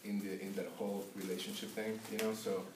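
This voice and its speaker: A young man who grew up in Peru tells a personal story for a class assignment, pausing often on filler sounds. His voice sits somewhat low. It comes from a distance in an echoey classroom with a faint hum behind it. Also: level tone. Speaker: student giving a class speech.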